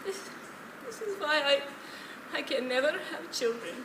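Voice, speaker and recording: Stage drama role: woman playing wounded, enduring old widow, high voice, slow deliberate delivery, echoey distant recording. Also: animated. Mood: pained, sorrowful. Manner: deliberate, emotional storytelling. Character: elderly widowed Holocaust survivor, wounded, plain-spoken, enduring woman. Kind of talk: stage play acting. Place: theatre stage, distant mic. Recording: echoey stage from far off, noisy.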